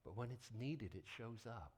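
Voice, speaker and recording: Male pastor giving a sermon, medium pitch, emphatic n deliberate, big pauses and quiet drops. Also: lively intonation, slightly rough.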